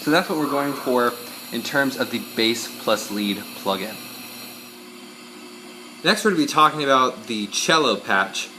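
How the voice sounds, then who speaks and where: A man giving an informal instructional demonstration as a musician, explaining step by step with hesitant pauses mid-phrase. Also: medium pitch, animated, noisy background.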